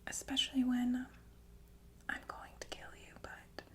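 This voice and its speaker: A woman playing a calm, sinister killer nurse in a whispered ASMR roleplay, speaking politely and matter-of-factly with hesitant, unhurried phrasing. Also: high voice. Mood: softly menacing. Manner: unhurried, hesitant, softly matter-of-fact about death. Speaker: ASMR performer.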